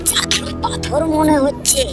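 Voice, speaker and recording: Over music, a man puts on a village landlord from an animated folk tale. He is commanding and full of himself, with a sly, greedy streak. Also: unhurried, natural voice. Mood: greedy, excited.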